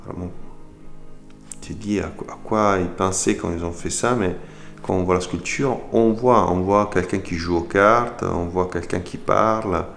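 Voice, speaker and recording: A man's voice: low-pitched, steady tone, a little slow, creaky, a bit bright, faint music behind. A man, a sculptor speaking French in a documentary interview, thoughtful and hesitant, often repeating words as he searches for them. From Italy. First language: Italian.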